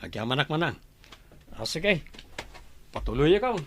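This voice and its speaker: A man's voice: on the high side, very animated, measured pace, fairly bright tone, a little hiss or hum. A man with a northern Philippine accent playing a lazy, complaining young son in a comedy radio drama. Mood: earnest.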